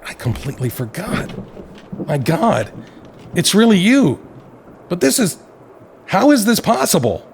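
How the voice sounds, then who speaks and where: Audio play: defensive, flustered male novelist, played by a man. High-pitched, very animated, indignant. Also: unhurried, gravelly, long pauses, slight background noise, full character voice. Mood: stunned.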